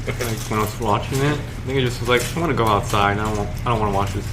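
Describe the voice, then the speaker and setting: A young man in casual chat, animated and blunt, telling stories and swearing freely. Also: on the low side, very fast, crowd noise. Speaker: podcast co-host. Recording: noisy home recording.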